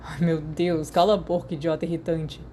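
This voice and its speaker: A woman's voice: deep voice, flat delivery, moderate pace, dark tone, slight background noise, lightly acted. A Brazilian woman voicing a moody, sarcastic, reserved teenage boy in an audiobook story. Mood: mock annoyed. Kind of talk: acted storybook dialogue.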